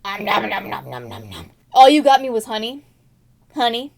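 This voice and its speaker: A woman's voice: fairly high pitch, sing-song, moderate pace, gravelly, darkish tone. A woman puts on a greedy, ungrateful young man from a comic fairy tale. He is curt and grumpy, forever complaining to the one who adores him. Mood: ungrateful, grumbling. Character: selfish, grumpy, greedy young male ambassador, ungrateful boyfriend.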